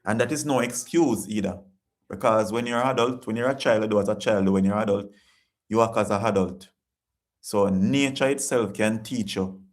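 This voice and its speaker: A man's voice: lowish voice, moderate pace. A Jamaican man hosting a casual live-stream chat, reading viewer comments and talking in an earnest, repetitive, preachy way.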